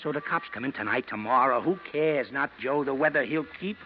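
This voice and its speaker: An actor playing a nervous, slangy American ex-convict in a 1940s radio crime drama, pleading and hesitant. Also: male voice, mid-range voice, fairly quick, close to an everyday voice. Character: nervous, fast-pleading male ex-convict, slangy small-time crook. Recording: dull, noisy vintage radio recording.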